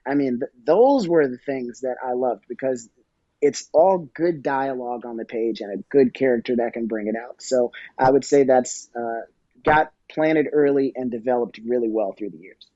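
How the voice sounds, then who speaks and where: Middle-aged male interview guest, mellow and reflective, halting delivery, dry and slightly dull sound. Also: medium pitch, no room sound. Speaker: podcast guest. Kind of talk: conversational interview.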